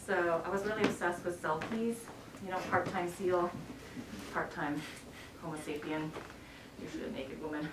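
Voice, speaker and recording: A woman's voice: fairly low pitch, steady tone, normal speed. A woman poet talking casually to introduce her reading, informal, chatty and self-deprecating. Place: bookshop reading event. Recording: echoey room, distant mic, noisy.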